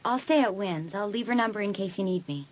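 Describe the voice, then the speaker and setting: A woman's voice: medium pitch, animated, fast talker, lightly acted. An actress playing an anxious but caring teenage girl in a dramatised audiobook. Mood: anxious, pleading.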